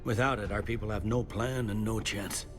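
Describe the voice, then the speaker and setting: An actor playing a gruff, weary, sardonic police commander in a video game, blunt and wry. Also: male voice, fairly low pitch, fairly even intonation, moderate pace, music in the background, natural voice. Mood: gruff, urgent.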